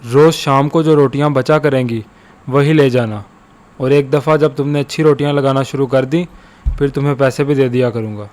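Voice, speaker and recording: A man playing a stingy, gruff roadside eatery owner, bossy and dismissive, in an animated moral story. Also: medium pitch, normal speed, lightly acted. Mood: grudging, bossy.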